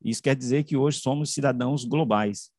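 A middle-aged man giving an academic online presentation, speaking haltingly with frequent self-corrections and repeated words. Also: medium pitch, a little mellow, dry recording. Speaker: researcher presenting his study. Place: indoors, room with bookshelves, video call. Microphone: desk microphone close to the mouth.

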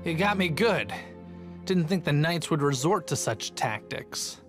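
An actor playing a flustered, cowardly thief in a video game, whiny and exclamatory. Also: male voice, a little high, lively intonation, unhurried. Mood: defiant. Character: flustered, cowardly male thief, sore loser. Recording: loud game music behind.

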